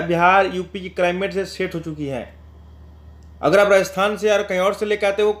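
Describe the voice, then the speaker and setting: A man giving an informal promotional voice-over as a channel host, explaining things repetitively and addressing viewers directly. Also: sits high.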